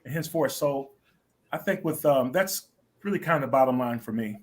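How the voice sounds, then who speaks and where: A middle-aged man giving interview answers as a stage actor, speaking earnestly and politely in halting phrases. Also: mid-range voice, animated.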